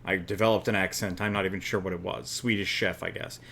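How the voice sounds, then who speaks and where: A man's voice: deep voice, fairly even intonation, quick pace, gravelly, bright, forceful tone, slightly rough. A man co-hosting a casual chat while playing a wrestling booking game, easygoing and thinking aloud.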